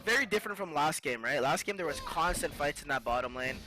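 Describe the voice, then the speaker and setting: A man commentating a live esports match with excitable play-by-play. He is a little sick and sniffling. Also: quick pace. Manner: excitable play-by-play, rises high in fights. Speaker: esports caster. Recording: noisy live stream mix.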